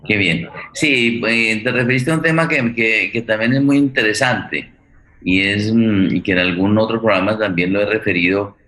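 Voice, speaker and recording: A man moderating a forum conversationally, halting and repeating words as he forms his thoughts. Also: fairly low pitch, pushed and bright. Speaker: forum host.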